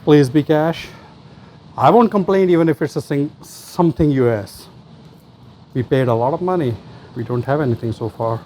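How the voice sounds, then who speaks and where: Male vlog host, relaxed dark tone, casual off-the-cuff commentary in fragments, slightly noisy recording. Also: a little high, moderate pace. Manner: muses aloud in short fragments. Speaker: host of a storage locker treasure-hunt vlog. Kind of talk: casual unscripted commentary while sorting through finds.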